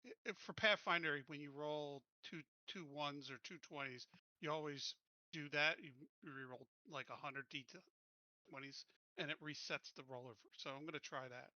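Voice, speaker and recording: A man's voice: fairly high pitch, moderate pace, bright, forceful tone, no room sound. A man chatting casually as a player in an online tabletop game. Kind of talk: casual chat. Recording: dry, slightly dull audio.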